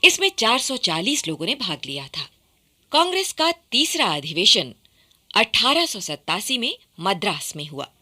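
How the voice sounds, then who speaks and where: A woman reading scripted radio documentary narration with formal, expressive enunciation. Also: mid-range voice, measured pace.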